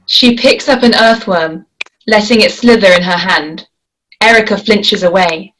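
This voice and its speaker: A woman's voice: medium pitch, a little slow, a bit bright, slight background noise, poor audio. A woman reading scripted stage directions as the narrator of a screenplay.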